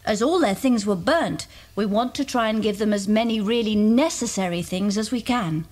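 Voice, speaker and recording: A woman's voice: mid-range voice, moderate pace, a little mellow, natural voice. A woman playing a kind, sensible mother with an English accent in a children's audio drama. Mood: helpful, organising.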